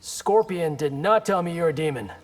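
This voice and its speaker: An actor playing a brash, defiant young ninja in a video game, cocky and sardonic. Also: male voice, a little high, lively intonation, moderate pace, soft background music. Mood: wary.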